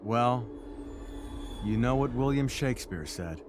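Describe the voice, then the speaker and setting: An actor playing a gentle, teasing middle-aged father in a naturalistic video game drama, with a playful, reassuring manner. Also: medium pitch, expressive, measured pace, over music, close to an everyday voice. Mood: wry, reflective.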